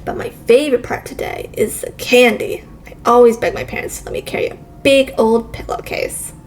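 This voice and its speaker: Woman voicing a sweet high-voiced kids' show hostess. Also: fairly even intonation, moderate pace, slight background noise, lightly acted. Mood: cheerful, excited. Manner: sweet, simple, addressing children directly. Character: chirpy, kind children's show hostess with childlike wonder.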